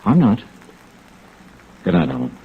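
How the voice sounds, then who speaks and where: An actor playing a tough, wry insurance investigator in 1950s radio noir, with an American accent and a clipped, dry delivery. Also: male voice, low voice, huge pitch swings, measured pace, full character voice. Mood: wry, guarded. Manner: clipped, dry, hard-boiled. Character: tough, wry male insurance investigator narrating his own case. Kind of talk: 1950s radio noir acting with first-person narration. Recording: muffled, noisy vintage radio recording.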